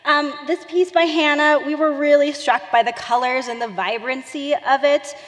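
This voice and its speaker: A woman with a high-pitched voice presents an arts award at a ceremony. She speaks warmly and a little hesitantly, using small fillers. Her voice carries a long reverb from a large hall. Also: fairly even intonation, moderate pace. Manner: warm, hesitant with filler words. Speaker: arts organization deputy director.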